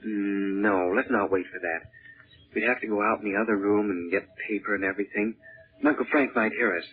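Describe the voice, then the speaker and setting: A narrow, muffled 1930s radio recording of a boyish young brother in an adventure serial. His voice is fairly low and a bit husky, earnest and hesitant, with a loyal streak. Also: fairly even intonation, brisk. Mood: resolute, tender. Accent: American.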